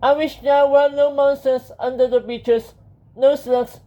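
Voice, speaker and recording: A man's voice: very high-pitched, little pitch movement, a little slow, warm tone, pitched above the performer's own voice, low-quality recording. A man voicing an eager, anxious, polite young boy in a children's audiobook, earnest and pleading. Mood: worried, careful.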